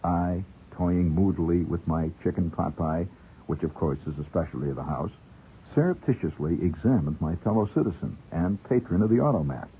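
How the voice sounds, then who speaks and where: A man's voice: sits low, lively intonation, normal speed, a little hiss or hum. A middle-aged American man hosting a radio show and telling a humorous story conversationally, with a wry, theatrical manner that savors ornate wording. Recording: muffled narrow-band old radio broadcast.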